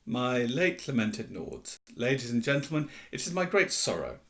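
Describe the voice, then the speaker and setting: A man voicing a proud, easily offended gentleman ghost in a story reading, stiff, formal and self-important. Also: mid-range voice, big pitch swings, normal speed. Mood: loud speechmaking.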